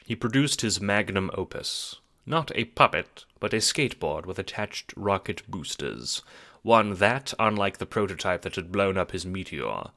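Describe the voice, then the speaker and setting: A man's voice: low-pitched, vocal fry, pushed and bright. A man narrating a scripted audio drama in a theatrical, wry, rhetorical storytelling style. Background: music.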